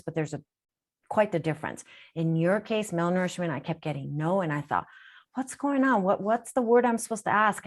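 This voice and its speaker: Low-voiced woman. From Japan. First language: Japanese. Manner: explanatory, repeats and restarts phrases. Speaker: healer answering a caller. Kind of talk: live group call, casual guidance.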